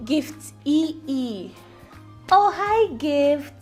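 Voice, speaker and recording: A woman's voice: high voice, expressive, very slow, darkish tone, faint music behind, exaggerated voice. A young woman with a Nigerian accent playing a bubbly, quarrelsome live-streamer sister in a screen drama. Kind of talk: naturalistic screen drama acting.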